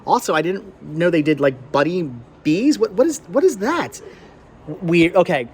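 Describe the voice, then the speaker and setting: A middle-aged American man with a slightly high voice talks off the cuff, rambling and doubling back on his words with nostalgic enthusiasm. It is a casual vlog, with faint ambient noise behind him. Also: expressive, moderate pace. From Pennsylvania, United States. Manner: enthusiastic, nostalgic, stammering repeats. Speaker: host of a thrifting vlog. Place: flea market, among vendor stands. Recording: clear background noise, flea market ambience.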